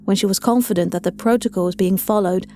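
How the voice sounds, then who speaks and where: A woman's voice: medium pitch, quick pace, warm tone. A woman reading scripted audio fiction as a story narrator, with music behind her.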